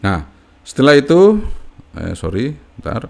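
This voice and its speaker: A man's voice: medium pitch, wildly swooping pitch, measured pace, vocal fry. A man giving a casual, unscripted step-by-step tutorial as a hobbyist, in an informal manner with frequent checking tags.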